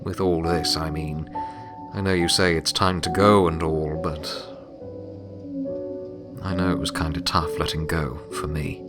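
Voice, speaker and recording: A man voicing a caring, wry male pony loner with an awkward, halting, earnest way of talking in an audio drama. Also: very deep voice, fairly even intonation. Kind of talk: audio drama character dialogue. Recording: loud music bed under voice. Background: loud music.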